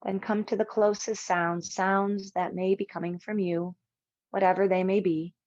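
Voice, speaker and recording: A woman instructor leading a guided yoga relaxation, speaking in measured, soothing short phrases with long pauses. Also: medium pitch, flat delivery, a little mellow, no echo, highs cut off.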